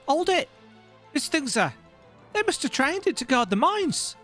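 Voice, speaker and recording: Video game imperial soldier, played by a man: pitched way up, urgent, exclamatory, warning comrades. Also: big pitch swings, a little slow, a bit bright, faint music behind, exaggerated voice. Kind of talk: video game dialogue read aloud.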